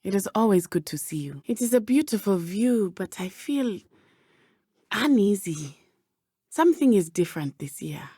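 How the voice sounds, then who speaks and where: An earnest, loyal teenage witch from a video game, with a southern African accent. Her voice sits at a medium pitch, with careful, formal phrasing and brave conviction. The sound is dry and close, with no room around it. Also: expressive, mellow, breathy edge, dry recording. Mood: calm, courteous. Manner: measured, precise, formal diction. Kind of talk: video game character dialogue.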